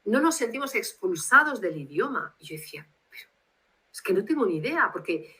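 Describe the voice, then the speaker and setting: A woman's voice: mid-range voice, sing-song, fairly quick, bright, forceful tone, slightly rough. A woman from Bilbao with a Basque Spanish accent, speaking as a guest translator on a video call in a reflective, rambling way. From Spain, Bilbao.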